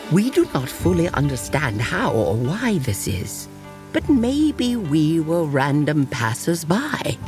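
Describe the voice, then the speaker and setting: An actor voicing a kindly yokai animal officiant in a motion comic, speaking in a measured, reverent, gentle storytelling way. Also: medium pitch. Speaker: officiant and narrator. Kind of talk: scripted ceremonial storytelling. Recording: music playing behind the voice.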